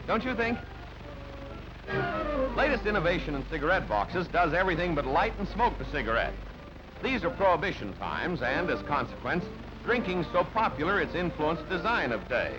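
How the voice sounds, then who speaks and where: A man with a fairly high, swooping voice reads newsreel copy. His delivery is jaunty and theatrical, in announcer style. The soundtrack is dull and band-limited, with music playing behind him. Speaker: newsreel narrator. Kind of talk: scripted newsreel narration. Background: background music.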